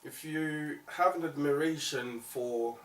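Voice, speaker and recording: A man's voice: on the high side, takes it slowly. A man hosting a conversational music interview, speaking in a reflective, opinionated way. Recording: roomy, distant mic, faint noise.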